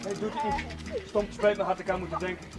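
Amiable village chaplain, higher-pitched. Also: male voice, wildly swooping pitch, a little fast, over loud music. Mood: apologetic. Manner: hesitant, chatty, polite. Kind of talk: 1970s television drama acting.

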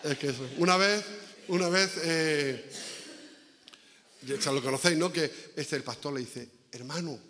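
A man's voice: on the high side, measured pace, pauses often, bright, forceful tone. A man giving a conversational evangelical sermon as a visiting preacher, repeating phrases for emphasis and asking rhetorical questions. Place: inside a church. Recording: echoey church hall reverb.

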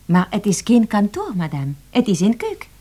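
An actress playing a chatty, unflappable young French cook in a radio play, with a French accent and cheerful talk peppered with French words. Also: female voice, medium pitch, huge pitch swings, moderate pace, mellow. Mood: protesting, chatty.

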